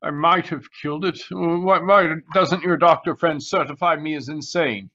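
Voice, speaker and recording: A man's voice: fairly high pitch, moderate pace, pushed and bright. A man playing an agitated, bitter colonel who fears for his own sanity, in a radio mystery. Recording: dull, narrow online-call audio.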